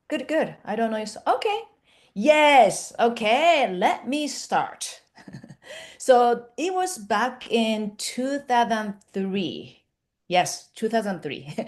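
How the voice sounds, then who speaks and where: A woman from Japan in her forties with a Japanese accent, co-hosting a live webinar and chatting with an easy laugh. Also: medium pitch. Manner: warm, laughing, animated. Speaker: face yoga founder and co-host.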